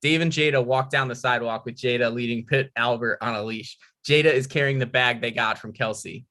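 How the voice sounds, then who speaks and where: A man's voice: mid-range voice, fairly even intonation, fairly quick, sharp tone. A man narrating stage directions, reading a screenplay aloud at a table read.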